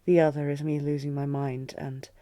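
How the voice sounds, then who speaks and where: A woman's voice: low-pitched, flat delivery, moderate pace, dark tone, no room sound, studio quality, close to an everyday voice. A woman playing a commanding, tormented queen in a fantasy audio drama, regal and restrained but intense. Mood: tormented, confessing. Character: commanding, tormented queen in love with her general.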